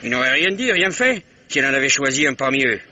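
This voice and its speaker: A man's voice: medium pitch, big pitch swings, fast talker, bright, forceful tone, a little hiss or hum. An actor playing an evasive, blustering old local man in a 1950s-style radio drama. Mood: grumbling. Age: older. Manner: blustering, dodging questions, grumbling.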